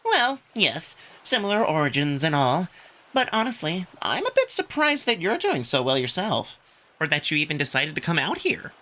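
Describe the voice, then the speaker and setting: A woman's voice: low-pitched, very animated, moderate pace, pushed and bright, full character voice. A woman playing a timid, anxious male cat alien in a visual novel, polite and easily flustered. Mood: polite, uneasy. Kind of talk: visual novel character acting.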